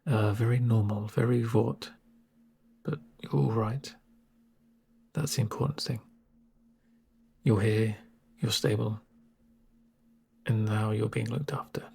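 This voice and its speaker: A man playing a calm, reassuring medic with dry humour in a soft-spoken, hushed ASMR roleplay. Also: fairly low pitch, gravelly, husky, heavily acted. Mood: dry, wry. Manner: unhurried, soothing, deadpan asides. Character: calm male corporate medic, gentle, reassuring, drily sardonic. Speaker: medic caring for a patient.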